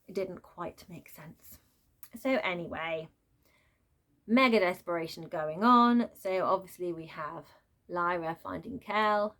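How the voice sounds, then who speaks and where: A woman giving an unscripted, chatty book rant as a reviewer, exasperated and prone to animated asides. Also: fairly low pitch, takes it slowly. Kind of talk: unscripted book rant, casual vlog chat.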